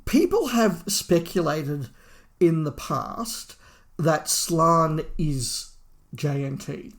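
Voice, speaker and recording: A man's voice: a little high, lively intonation, extremely slow, fairly bright tone. A man co-hosting a podcast, talking through a review casually and thinking aloud as he goes. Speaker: podcast co-host.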